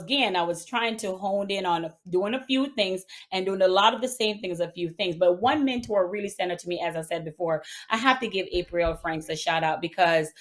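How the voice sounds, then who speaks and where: A middle-aged woman with a Jamaican accent speaks as an interview guest, recounting her business story in a casual, lively way. Her voice sits at a mid pitch for a woman. She talks in a relaxed, conversational manner. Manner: animated storytelling with bursts of laughter.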